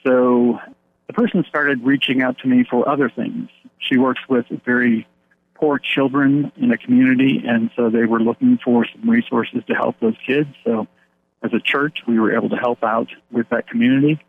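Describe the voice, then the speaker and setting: A man's voice: medium pitch, steady tone, normal speed, breathy edge. A man, a pastor from the United States, talking in an earnest, rambling way with many fillers and restarts during a phone interview. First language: English. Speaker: pastor of an English-speaking church abroad. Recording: tinny phone line.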